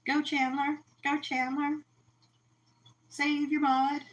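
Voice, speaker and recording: A woman's voice: sits high, flat delivery, slow pace, muffled recording, a little hiss or hum. A woman hosting a casual livestream, chatty, telling stories and laughing often. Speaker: host of an aquarium livestream. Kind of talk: casual chat.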